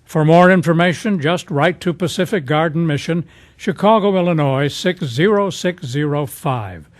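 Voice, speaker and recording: A man announcing for a radio program in a measured, scripted style with clear phrasing. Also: on the high side, no echo.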